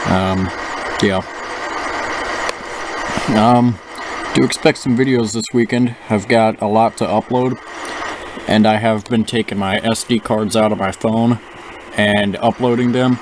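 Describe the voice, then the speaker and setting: A young man chatting casually over gameplay, rambling with lots of fillers and restarts. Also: lowish voice. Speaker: gaming channel host. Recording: noisy with engine sounds from the game. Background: simulated bus engine noise.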